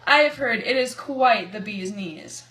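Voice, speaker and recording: A woman's voice: on the high side, expressive, unhurried, a bit bright. A woman playing an earnest news anchor in a scripted amateur 1920s radio broadcast. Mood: upbeat.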